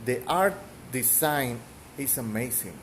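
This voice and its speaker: A man's voice: mid-range voice, expressive, slow pace, a little hiss or hum. A man from Mexico with a thick Mexican accent, rambling through casual game commentary with fillers and the odd cough. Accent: Mexican Spanish. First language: Spanish. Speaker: gaming streamer.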